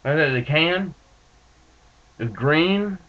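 A man in his twenties with a stuffed-up nose, rambling in a laid-back, halting way as he talks to his webcam in a small room with bookshelves. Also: fairly high pitch, lively intonation. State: stuffed up from allergies. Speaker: vlogger reviewing dip tobacco. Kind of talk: casual webcam vlog. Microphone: built-in webcam mic. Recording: muffled lo-fi webcam audio.

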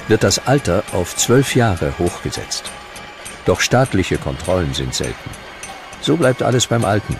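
A man narrating a scripted documentary with dramatic, deliberate storytelling. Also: fairly low pitch.

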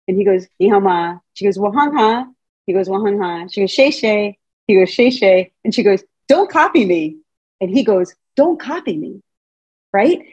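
A middle-aged woman speaks over a video call from a room at home, her voice a little husky and recorded dry and close. She lectures in a chatty, playful way, asking her listeners questions as she goes, at an everyday pitch. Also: darkish tone, dry recording. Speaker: Montessori teacher trainer giving a talk. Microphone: video call webcam.

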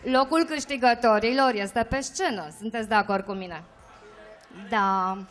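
A woman's voice: a little high, a bit bright, soft background music. A middle-aged woman presenting prizes on a club stage, holding a handheld mic and rallying the crowd for applause. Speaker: awards presenter. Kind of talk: live stage emcee announcing winners. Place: stage of a rock cafe with karaoke audience. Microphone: handheld microphone.

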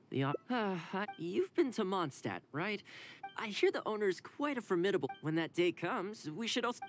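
An actor playing an easygoing, modest young housekeeper in a video game, friendly and conversational. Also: male voice, on the high side. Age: young adult. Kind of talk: scripted game dialogue.

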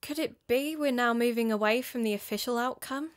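A woman's voice: a little high, a little fast, lightly acted. A woman playing a thoughtful, cautious podcaster turned amateur sleuth in a conversational audio drama. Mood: puzzled, pondering.

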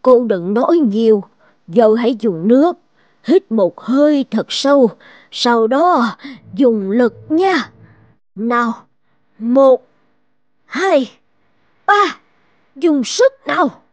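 A woman voicing a kindly, anxious old village midwife in an animated fairy tale, with an earnest, drawn-out delivery. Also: on the high side, dry recording, muffled recording. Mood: urging. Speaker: voice actor.

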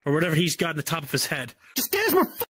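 A man voicing a brash, crude male angel leader who shouts, boasts and bosses people around in a comedy roleplay. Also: on the high side, wildly swooping pitch, very fast, breathy edge, no echo, pitched below the performer's own voice, exaggerated voice. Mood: bossy.

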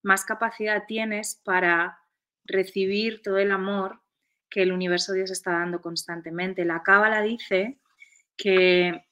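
A woman in her forties, a guest on a spiritual video-call chat, who explains her ideas calmly and slows down for key points. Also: medium pitch. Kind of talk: reflective spiritual conversation. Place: home room, indoors. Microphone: video call webcam.

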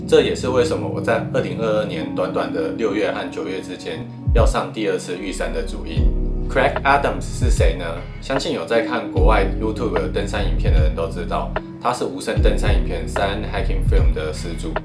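A man's voice: deep voice, fairly quick. A man in his thirties with a Taiwanese accent, talking casually to camera as a vlogger. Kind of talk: casual vlog talk to camera. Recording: roomy echo, loud music behind.

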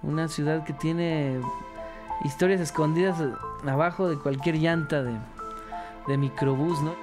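A man's voice: fairly high pitch, fairly even intonation, measured pace, over loud music. A man with a Mexican accent talking casually and reflectively in an interview. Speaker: radio performer.

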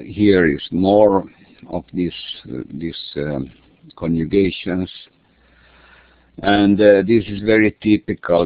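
A man's voice: extremely low pitch, vocal fry. A man from Finland, a native Swedish speaker with a Finland Swedish accent, explaining his course materials in a hesitant way full of fillers and restarts. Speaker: language course creator. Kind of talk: screen-share lesson walkthrough. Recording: tinny phone-like line, dry.

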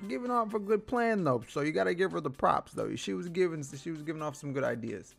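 A man's voice: fairly high pitch, expressive, fast, gravelly, faint music behind. A man giving casual, slangy reaction commentary as a video host, sounding amused.

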